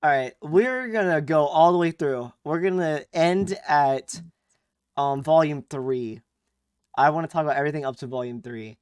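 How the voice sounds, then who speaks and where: A man's voice: on the high side, moderate pace, darkish tone, clean tone, no echo, studio quality. A young man co-hosting a casual podcast, rambling along with fillers and restarts. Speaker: podcast co-host. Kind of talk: casual chat.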